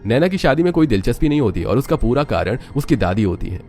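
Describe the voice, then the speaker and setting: A man's voice: mid-range voice, sing-song, fast, a little mellow. A man narrating a scripted dramatic story, with music underneath.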